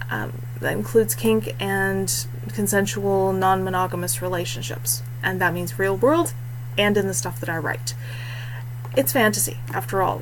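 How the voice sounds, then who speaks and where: A woman's voice: mid-range voice, poor audio. Chatty woman author with faint hum. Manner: chatty and self-deprecating. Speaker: indie fantasy author. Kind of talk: informal channel introduction.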